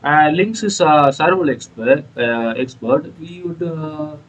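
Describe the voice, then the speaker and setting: A man's voice: on the high side, dull audio. A man giving casual, hesitant commentary on a video game walkthrough. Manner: halting, hesitant delivery. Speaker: gameplay YouTuber.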